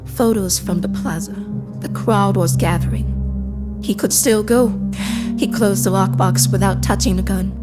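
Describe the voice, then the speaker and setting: A woman playing a calm, impassive AI assistant, narrating a scripted audio drama in a measured, detached, deliberate way. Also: medium pitch, lightly acted. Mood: somber, measured. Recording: cinematic music behind. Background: cinematic score.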